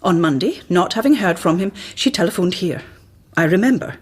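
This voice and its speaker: An actress in a radio drama playing a cautious, earnest hospital colleague who speaks formally and precisely, with prim reproof. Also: female voice, fairly low pitch, animated, fairly quick, husky. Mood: grave, urgent.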